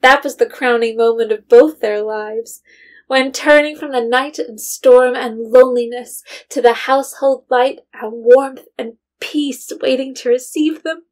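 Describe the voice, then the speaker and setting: A woman's voice: sits high, fairly even intonation, a little slow. A woman narrating a period story from a script, as in an audio drama.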